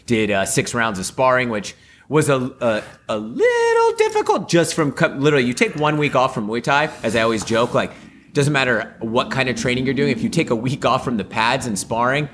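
A man's voice: mid-range voice, very animated, brisk, a bit bright, slight background noise. Upbeat rambling man, voice slightly off. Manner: rambling, upbeat, lots of fillers. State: voice still slightly off after a sore throat. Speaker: podcast co-host. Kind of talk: casual chat.

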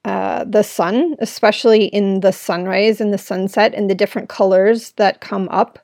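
Woman podcaster at home, rough gravelly throat from smoke, dry close sound. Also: mid-range voice, fairly even intonation, normal speed, a little mellow, no echo. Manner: earnest, rambling, with frequent "right?". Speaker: podcast host. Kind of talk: reflective solo podcast talk. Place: at home indoors.

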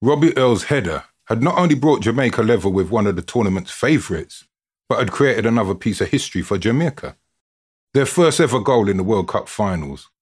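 A man with a husky voice giving an expressive, dramatic scripted reading, like a storyteller narrating a written account. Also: fairly low pitch, fairly quick. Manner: expressive, dramatic delivery with built-up lists.

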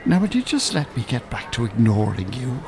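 A man's voice: mid-range voice, huge pitch swings, brisk. An actor playing a bookish, irritable older man in a radio drama, articulate, polite and drily sarcastic. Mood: exasperated. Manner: polite, dry, exasperated, articulate. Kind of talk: naturalistic radio drama acting. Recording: urban ambience, faint background noise. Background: shopping centre ambience.

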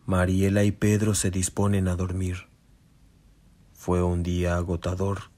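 A man's voice: deep voice, completely flat delivery, a little slow, mellow. A man giving solemn scripted narration for a radio drama. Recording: music behind.

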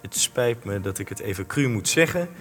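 A young actor playing a blunt, relentless interviewer in a radio play, probing and insistent. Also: male voice, on the low side, lively intonation, moderate pace, fairly bright tone. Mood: grave, accusing. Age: young adult. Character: blunt, relentless male interviewer confronting a war veteran. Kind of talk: Dutch-language radio play acting. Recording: long echoing hall, music underneath.

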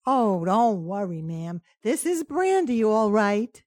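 An actress playing a loyal, plain-spoken housemaid who is eager to help, in an old-time radio sitcom. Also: female voice, mid-range voice, big pitch swings, slow pace, darkish tone, dry recording, clean studio sound. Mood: reassuring.

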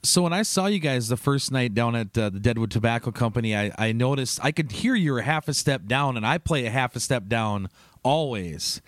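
A man's voice: medium pitch, lively intonation, a little fast, no room sound, clean studio sound. A man hosting a casual interview chat, rambling and easygoing, often laughing. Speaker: podcast host.

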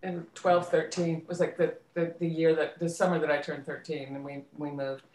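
A low-pitched American woman speaks as a guest in a casual interview. She recounts her own story reflectively, pausing to rephrase. The sound is roomy and echoey. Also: little pitch movement, a little mellow. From United States, suburbs of Washington DC, then California. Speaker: interview guest, theater actress and director.

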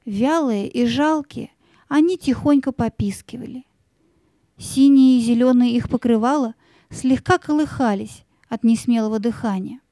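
A woman's voice: fairly high pitch, moderate pace, mellow, no echo. A woman narrating a scripted fairy-tale audio play as a storyteller, with music behind her.

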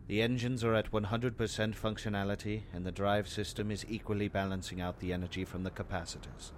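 A man playing a calm, precise ship's crewman in an audio drama. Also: lowish voice, a little fast, a little hiss or hum, lightly acted. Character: calm, precise male ship crewman giving reports.

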